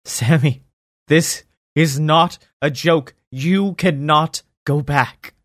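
A man voicing an earnest elven woman who gravely pleads and warns. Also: a little high, slow pace, fairly bright tone, no room sound, studio quality. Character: earnest elven woman, frail-looking but strong.

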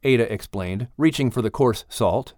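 A man's voice: mid-range voice, normal speed, darkish tone, no room sound, studio quality. A man reading a children's story aloud as a scripted audiobook narrator.

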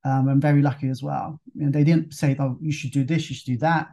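A young man from with a gravelly, mellow voice talks through his story as an interview guest. He hesitates often, repeating words and filling pauses with "you know". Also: medium pitch, steady tone. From London, England. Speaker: guest, fitness instructor. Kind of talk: casual interview talk.

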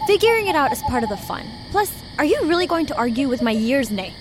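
A woman's voice: sits high, sing-song, quick pace, noisy background. A young woman playing a stubborn, nature-loving hike leader in an audio drama, casual, wry and insistent. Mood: defensive, irritated.

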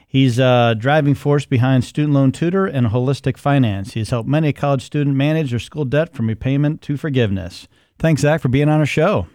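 A man's voice: mid-range voice, a little fast, a little mellow, no echo, clean studio sound. A man hosting a podcast, introducing it and chatting casually in an interview. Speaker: podcast host.

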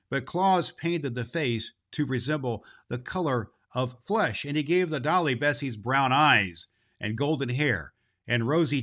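Very animated man reading a children's story. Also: mid-range voice. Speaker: storybook narrator.

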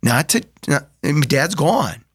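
A man's voice: fairly high pitch, big pitch swings, measured pace, husky, dry recording, clean studio sound. A middle-aged man in casual, reflective conversation, thoughtful, often restarting and saying "like". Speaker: brother in a family discussion.

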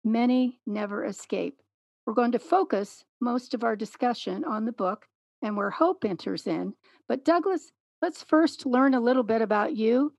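A middle-aged woman hosting an interview, speaking in a clear, measured way. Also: a little high, darkish tone, dry recording. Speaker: podcast host and interviewer.

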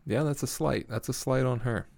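A young man with a deep voice hosting a casual solo podcast, laid-back and swearing often, chuckling at his own stories. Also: moderate pace, gravelly, a little mellow, dry recording. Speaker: podcast host. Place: small home room with foam on the walls. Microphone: studio mic at a desk.

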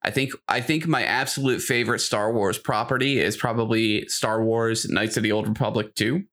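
A man's voice: on the low side, fairly even intonation, moderate pace, a bit bright. A man co-hosting a podcast, talking casually and giving his opinions in a conversational way. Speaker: podcast co-host.

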